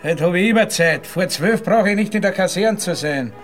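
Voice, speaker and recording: An actor playing an offhand, breezy young soldier with a Viennese accent in a radio play. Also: male voice, high-pitched, lively intonation, moderate pace, soft background music. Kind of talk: radio play acting in dialect.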